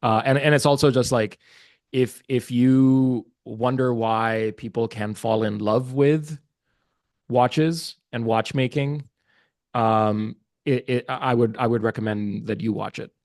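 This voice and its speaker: A man's voice: medium pitch, steady tone, takes it slowly, a little mellow, no room sound, clean studio sound. A man from Pakistan whose first language is Urdu, a podcast guest talking casually and earnestly, with many fillers and restarts. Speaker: guest, watch market research business developer. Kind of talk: casual interview chat.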